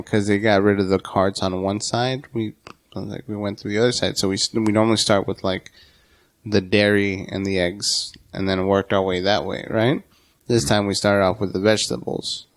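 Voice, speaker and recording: A man in his late twenties, a podcast guest, telling an easygoing story in casual chat. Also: lowish voice. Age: 20s.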